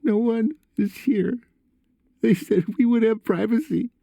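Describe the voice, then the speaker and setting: Audiobook character: frightened, tearful son, played by a man. High, whiny, trembling, pleading delivery. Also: measured pace, creaky, dark tone, no echo, full character voice. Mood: shaky confession. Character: frightened tearful teenage son confessing on the phone.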